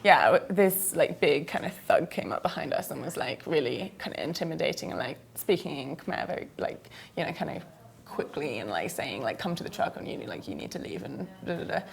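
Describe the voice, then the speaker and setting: A woman's voice: deep voice, big pitch swings, fairly quick, gravelly. A young woman from the UK, a filmmaker guest answering interview questions hesitantly, full of fillers, and tired from travel.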